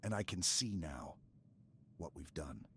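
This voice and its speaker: A man playing a stoic, earnest super-soldier in an intimate sci-fi ASMR roleplay, speaking in a halting, hushed way with dramatic pauses. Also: very deep voice, lively intonation, slight background noise, exaggerated voice. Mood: dazed, tender.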